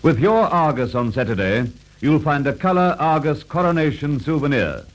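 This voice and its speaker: A man delivers a 1950s radio advert in formal, declamatory style. His voice sits fairly high and moves with lively intonation, like an old broadcast announcer. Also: moderate pace, faint music behind, poor audio. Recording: vintage radio broadcast recording.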